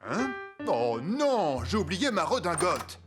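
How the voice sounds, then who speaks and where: Cartoon doorman: fretful, proper, lively intonation, music underneath. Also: high-pitched, slow pace, exaggerated voice. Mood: fussy, flustered. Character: fussy, conscientious uniformed doorman.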